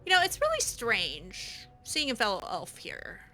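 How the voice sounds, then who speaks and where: Animated, bright-voiced woman gamer. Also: a little high, unhurried. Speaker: game streamer playing a role-play character. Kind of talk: casual in-character gameplay commentary.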